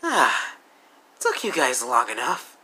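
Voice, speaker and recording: Man putting on a high smug villain driver. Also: wildly swooping pitch, takes it slowly, bright, forceful tone, husky, heavily acted, low-quality recording. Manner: lazy, teasing, vain. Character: smug cocky young male villain getaway driver. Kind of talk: fan audio roleplay.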